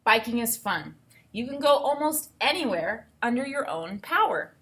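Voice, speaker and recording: A woman teacher reading aloud to children and thinking out loud, explaining things step by step in an instructive way. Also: a little high, big pitch swings, normal speed. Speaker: elementary school teacher.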